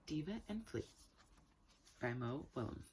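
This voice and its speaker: A woman with a husky voice reading a children's story aloud and chatting to viewers, with expressive, deliberate phrasing. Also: deep voice, slight background noise, poor audio.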